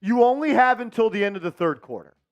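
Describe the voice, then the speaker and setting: A man hosting a live sports watch-party stream, chatting with viewers, reading the chat and calling plays excitedly. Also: high-pitched, fast talker, lo-fi sound.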